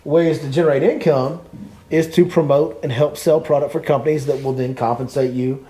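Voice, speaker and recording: Male podcast host, warm mellow tone, slightly high, ranting emphatically. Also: steady tone, normal speed. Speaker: podcast host and fishing guide. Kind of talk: opinionated podcast chat.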